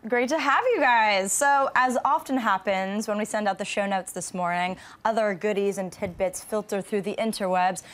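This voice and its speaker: A woman's voice: mid-range voice, normal speed, few pauses, fairly bright tone. A woman hosting a movie news panel, chatting casually in an informal, slangy way.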